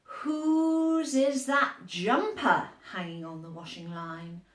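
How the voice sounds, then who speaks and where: A woman voicing a curious picture-book elephant, wondering aloud in a drawn-out, questioning way. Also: mid-range voice, reverberant, distant mic, lightly acted.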